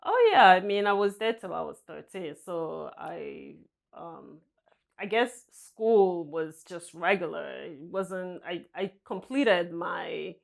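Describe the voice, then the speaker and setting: A woman from Nigeria answering interview questions as a guest, soft-spoken and hesitant, often laughing lightly. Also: lowish voice, lively intonation, takes it slowly, vocal fry. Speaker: guest, senior vice president.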